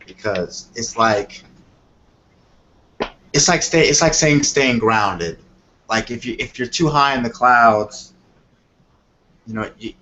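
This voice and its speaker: A man's voice: lowish voice, pushed and bright. A man working as a tarot reader, explaining things casually and off the cuff in a rambling way, often checking that he is understood. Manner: rambling, repeats "you know what I mean". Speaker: tarot reader on a call-in show. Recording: dull lo-fi audio with clear noise.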